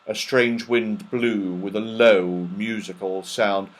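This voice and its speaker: A man narrating a children's storybook in an expressive, dramatic, animated way. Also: lowish voice, slow. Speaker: audiobook narrator.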